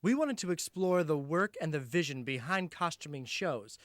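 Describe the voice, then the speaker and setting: Male arts reporter, fairly high voice, scripted feature narration, very dry close sound. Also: lively intonation, moderate pace, dry recording.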